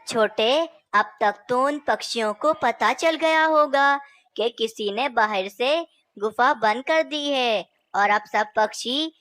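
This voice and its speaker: Brief: woman voicing a sly male cartoon owl, high, slow, smooth, dry booth sound. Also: a bit bright, no room sound. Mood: scheming. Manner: sly, then apologetic. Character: scheming male owl brothers. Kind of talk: Hindi cartoon voice acting.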